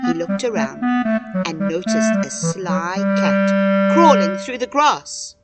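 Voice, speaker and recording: Animated woman storyteller over music. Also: fairly low pitch, takes it slowly. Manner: dramatic, expressive storytelling. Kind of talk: scripted children's story narration. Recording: music behind the voice.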